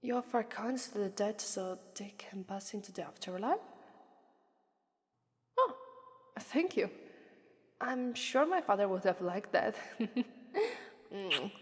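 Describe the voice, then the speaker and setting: A woman's voice: medium pitch, animated, takes it slowly, pauses often, slightly rough, no room sound, clean studio sound. A woman playing a shy demon princess bride, Italian accent. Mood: wistful. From Italy. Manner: gentle and soft-spoken, often hushed to a whisper, with nervous hesitant restarts. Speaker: voice actress. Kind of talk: ASMR roleplay.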